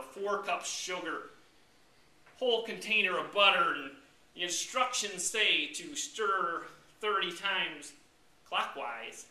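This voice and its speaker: An American man delivers a comic speech with theatrical mock indignation. His voice is high and very bright and forceful, pushed out to a room. It sounds roomy, picked up from a distance. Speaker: club speaker. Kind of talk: humorous tall-tale speech to a live audience. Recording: roomy, distant mic with background noise.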